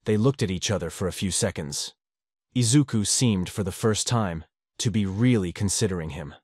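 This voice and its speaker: A man narrating a fanfiction audiobook with a steady, measured reading. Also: sits low, slightly rough, no echo, clean studio sound.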